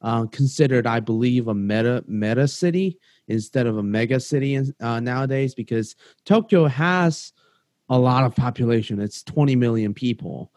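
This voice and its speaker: A man from South Korea hosting a casual podcast interview, halting and full of ums and self-corrections. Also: mid-range voice, a little mellow, dry recording. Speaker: podcast host.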